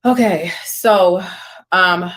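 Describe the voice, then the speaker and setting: Woman hosting a live stream, lowish voice, chatty and informal, reading stuff out and commenting on it. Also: very slow, rough recording. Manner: conversational, informal, with asides and reactions. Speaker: host of an online news stream.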